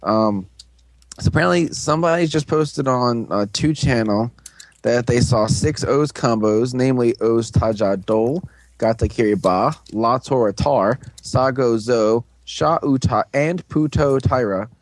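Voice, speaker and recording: A man's voice: mid-range voice, a little slow, no room sound, rough recording. A man co-hosting a casual fan podcast, reading out news with many fillers and playful crude jokes. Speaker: podcast co-host.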